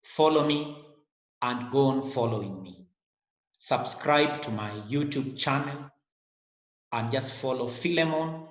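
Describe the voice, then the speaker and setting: A man's voice: mid-range voice, slow pace, dull audio. A middle-aged man with a Kenyan English accent gives an online lecture to a webcam, speaking earnestly and repeating his words. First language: a Kenyan language. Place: small indoor room. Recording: echoey room.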